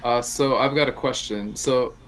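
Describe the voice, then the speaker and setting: A man who speaks English natively, working as a relaxed, encouraging tutor and asking questions on a video call. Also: mid-range voice, normal speed, low-quality recording. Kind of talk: casual conversation practice call.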